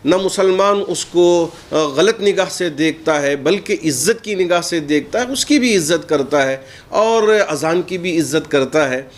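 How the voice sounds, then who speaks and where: A man's voice: fairly high pitch, unhurried. A man delivers a statement in the role of a cleric. His wording is formal and his manner earnest, as if addressed to the news media. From India. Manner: earnest, formal Urdu-laced speech.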